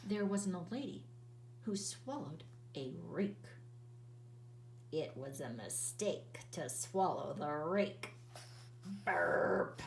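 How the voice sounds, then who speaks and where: A woman teacher reading a children's picture book aloud in an expressive, sing-song storytelling voice, drawing out words. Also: on the low side, slow pace, vocal fry, long pauses, echoey room, distant mic, a little hiss or hum.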